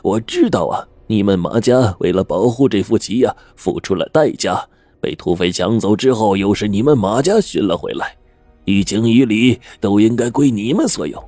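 A man voicing an emotional, wealthy old man who talks in a high, gushing, overly warm way. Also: animated, normal speed, no room sound, faint music behind. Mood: smooth bargaining. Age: older.

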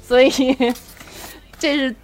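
A woman hosting a podcast, moving between casual chat and playful story narration in a giggly, animated way. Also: high-pitched, drawn-out words, faint music behind. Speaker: podcast host.

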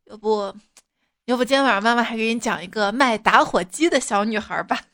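A woman's voice: a little high, brisk, a bit bright. A woman playing a playful, teasing mother who coaxes a stubborn child in a comic bedtime sketch. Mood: coaxing, amused. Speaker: storyteller and performer. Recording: music behind the voice.

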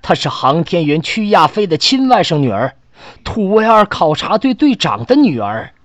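A man plays an impassioned male official in a radio play, rather high in pitch with big swings of intonation. He is emphatic and challenging, recorded close and dry. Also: sing-song, moderate pace, a bit bright, no room sound. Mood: indignant.

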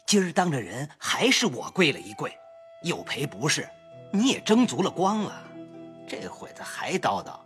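Sheepish young nobleman for a storybook, soft and placating, pitch moving a lot; man doing it. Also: wildly swooping pitch, moderate pace, soft background music. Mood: grumbling. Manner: sheepish, laughing softly, placating. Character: sheepish young nobleman apologizing with nervous laughs.

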